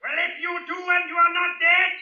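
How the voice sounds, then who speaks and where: An actor playing an enraged avenger in an old radio drama, hurling threats. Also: male voice, very high voice, flat delivery, normal speed, pushed and bright, muffled recording, exaggerated voice. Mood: vengeful fury. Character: enraged male avenger shouting threats from an alley. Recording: distant, echoing old radio recording.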